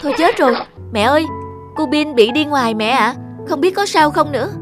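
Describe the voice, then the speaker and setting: Actress as anxious young cartoon mum, plaintive and scolding, clear music underneath. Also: female voice, high-pitched, expressive, fairly quick. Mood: panicked, anxious. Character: anxious young new mother, exasperated with her old-fashioned mother. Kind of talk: cartoon dialogue.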